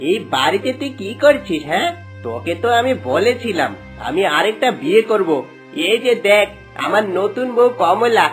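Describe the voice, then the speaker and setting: A woman's voice: medium pitch, lively intonation, moderate pace, lightly acted. A woman voicing a greedy, cruel village husband in a cartoon, scolding and domineering in exclamatory bursts. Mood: scornful. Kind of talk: melodramatic cartoon acting. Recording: music bed under voice.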